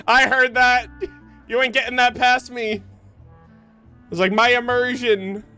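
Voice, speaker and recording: A young woman streamer talks casually over a game. Her delivery is informal, excitable and full of joking, with faint game music in the background. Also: on the high side, slow. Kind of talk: casual game livestream commentary.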